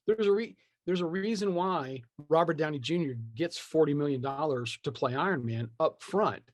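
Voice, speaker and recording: A man's voice: a little high, normal speed, no echo, clean studio sound. A man talking casually and with strong opinions as a podcast guest, slipping into imagined quotes in slangy language. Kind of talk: animated casual conversation.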